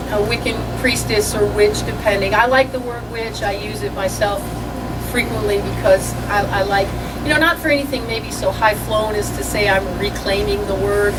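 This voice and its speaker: A middle-aged woman gives an informal, rambling, self-deprecating talk as an author introducing a book. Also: mid-range voice. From northern United States. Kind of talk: informal, anecdotal talk to an audience. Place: room at a public book reading. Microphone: far from the speaker. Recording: distant mic with loud air-conditioner-like room noise.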